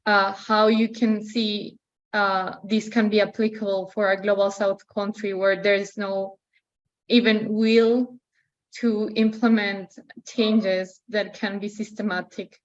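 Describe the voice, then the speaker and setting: A woman's voice: medium pitch, flat delivery, slow pace. Peruvian-accented young woman, dull lo-fi sound. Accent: Peruvian Spanish. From Peru. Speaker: participant asking a question. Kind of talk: spontaneous question in a discussion.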